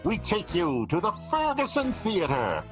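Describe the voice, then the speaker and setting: A man's voice: sits high, huge pitch swings, a little slow, fairly bright tone, faint music behind. A man narrating as the playful, theatrical announcer of an improvised old-time radio soap opera. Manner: melodramatic, playful, tongue-in-cheek.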